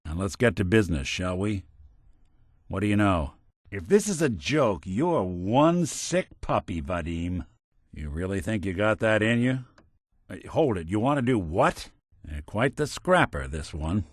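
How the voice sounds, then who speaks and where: An actor voicing a world-weary android private detective in a video game, with an American accent and a wry, streetwise, old-fashioned noir delivery. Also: male voice, lowish voice, very animated, unhurried, no room sound, clean studio sound. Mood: dry, probing. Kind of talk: video game voice acting in 1940s film noir detective manner.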